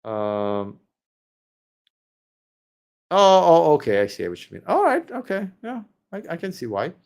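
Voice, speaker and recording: Male streamer host, slightly high voice, hoarse, chatty and meandering. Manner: rambling, many fillers and restarts. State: losing his voice. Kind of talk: casual live stream Q&A.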